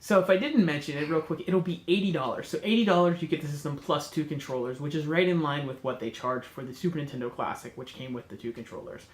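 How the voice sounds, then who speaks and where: A man's voice: on the high side, fast, hardly any pauses, roomy sound. A man with a cold and a congested, nasal voice, hosting a casual chat and rambling with enthusiasm while he corrects himself. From North America. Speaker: host.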